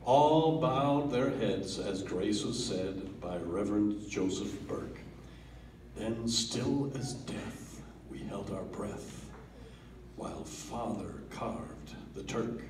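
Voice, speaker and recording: A man giving a dramatic reading of a comic poem at a family gathering, theatrical, with swells and hushed drops. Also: lowish voice, takes it slowly, background noise. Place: family holiday gathering in a room. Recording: roomy, distant home video.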